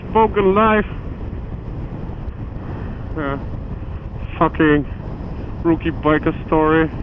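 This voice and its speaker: A man's voice: a little high, slow pace. A man with a German accent, a native German speaker, chatting in a rambling, self-deprecating way while riding a motorcycle in winter. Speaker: motovlogger. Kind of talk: live motovlog chat while riding. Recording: phone-like audio with strong wind and engine noise. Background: wind and vehicle noise.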